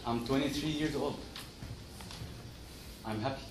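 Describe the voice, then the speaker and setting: A man giving a prepared motivational storytelling speech in short, simple, deliberate sentences. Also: medium pitch. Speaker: club member giving a speech. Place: meeting room, recorded from a distance. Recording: echoey room, distant mic, noisy.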